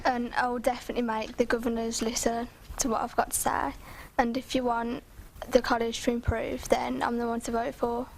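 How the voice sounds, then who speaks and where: A woman's voice: fairly high pitch, little pitch movement, fairly quick, creaky, slight background noise, rough recording. A young woman candidate giving short, hesitant answers.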